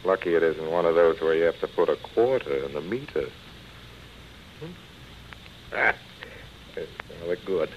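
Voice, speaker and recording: An actor in a 1930s radio drama playing a proud, broken, drinking man, halting and muttering to himself. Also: low voice, close to an everyday voice. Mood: bleak, drunken resignation. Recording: dull, hissy 1930s radio recording.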